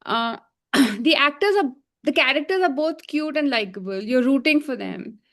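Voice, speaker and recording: A woman with a higher-pitched voice talks casually as a review show co-host. She lays out her opinions emphatically, in a conversational, unscripted way. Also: lively intonation, normal speed, fairly bright tone. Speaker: podcast co-host reviewing a film.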